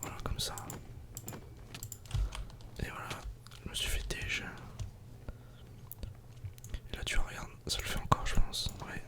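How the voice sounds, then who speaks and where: A woman whispering breathy, unvoiced ASMR commentary while playing a video game, murmuring to herself and to viewers. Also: normal speed.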